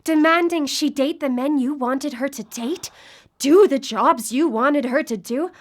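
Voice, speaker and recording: Radio drama casting: obsessive grieving widow, high-pitched, urgent and pleading. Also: female voice, a little slow. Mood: bitter, defiant. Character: grieving, obsessive widow desperate to reach her lost wife. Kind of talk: live radio drama acting. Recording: live broadcast with background noise.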